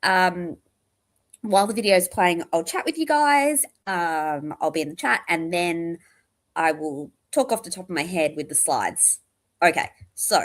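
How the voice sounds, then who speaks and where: Tired, croaky-voiced woman livestreaming. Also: lowish voice. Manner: chatty, rambling, greets viewers by name. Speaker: livestream host. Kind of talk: casual livestream chat.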